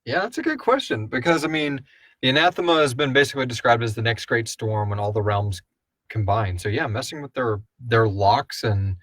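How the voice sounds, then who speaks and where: A man's voice: medium pitch, brisk, a bit bright, no room sound. A man hosting a casual question-and-answer chat, rambling and thinking aloud as he speculates. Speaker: host of a live audio drama Q&A.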